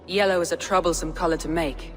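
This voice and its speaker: A man playing a calm, measured Viking leader. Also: fairly high pitch, long reverb tail, natural voice. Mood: composed. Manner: measured, thoughtful, composed.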